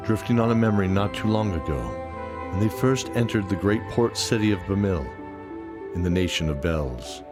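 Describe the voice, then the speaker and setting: A man reading scripted fantasy audio drama narration in a measured storytelling manner. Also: low voice. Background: music.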